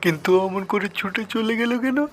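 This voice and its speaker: A man's voice: high-pitched, brisk, fairly bright tone. A man voicing a gullible, lovesick tiger in a children's folktale cartoon, exclaiming theatrically. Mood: curious, delighted. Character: lovesick, gullible male tiger looking for a bride.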